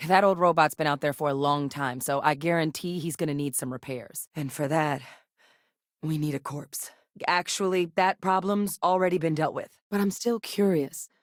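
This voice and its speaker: A woman voice actress playing a determined, principled soldier-scientist in a space adventure video game, speaking earnestly and conversationally with military briskness. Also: deep voice, no echo, clean studio sound, lightly acted. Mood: focused, measured. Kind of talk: acted video game dialogue.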